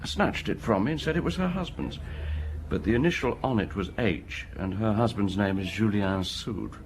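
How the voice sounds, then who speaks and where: An actor playing a diligent local police inspector who reports his findings in a brisk, matter-of-fact way in a radio drama. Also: on the low side, slight background noise, close to an everyday voice.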